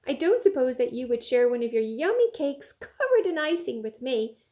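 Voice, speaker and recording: A woman's voice: high-pitched, sing-song, normal speed, warm tone. A woman voicing a polite, hopeful little rabbit from a storybook, eagerly wheedling.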